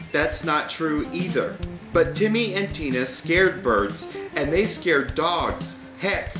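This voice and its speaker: A man reading a children's story as scripted narration, with a wry, deliberate delivery. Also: fairly high pitch. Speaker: audiobook reader. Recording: roomy sound with music behind.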